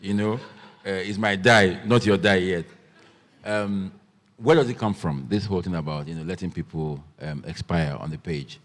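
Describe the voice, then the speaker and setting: A man moderating a literary festival panel, relaxed and joking with lots of ums. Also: low voice, moderate pace, big-room sound. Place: festival event hall.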